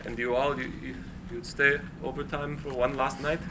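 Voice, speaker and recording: An actor playing an eccentric, idealistic inventor in a live screenplay reading, theatrical and earnest. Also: male voice, mid-range voice, little pitch movement, normal speed, noisy background, natural voice. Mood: moved, hesitant.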